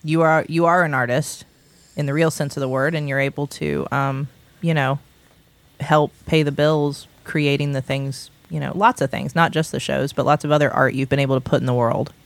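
A woman hosting a podcast, chatting casually through a fundraising pitch with restarts and light laughs. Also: fairly even intonation, normal speed, no room sound. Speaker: podcast host. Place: small recording studio.

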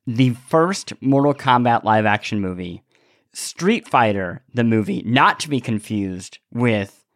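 A man's voice: medium pitch, no echo, clean studio sound. A man co-hosting a podcast in casual chat, playful and given to exaggeration. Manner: playful, hyperbolic. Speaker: podcast co-host.

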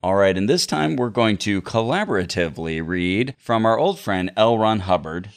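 Animated, lowish-voiced man reading aloud. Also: moderate pace. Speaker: podcast host. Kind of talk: dramatic read-aloud of a book with casual asides.

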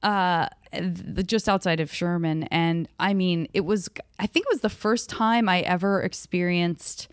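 Woman podcast host from Arizona, lowish voice, chatty and rambling, close dry recording. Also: fairly even intonation, a little slow, hardly any pauses, clear, resonant tone, dry recording. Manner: chatty, rambling with many restarts. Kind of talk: casual interview chat.

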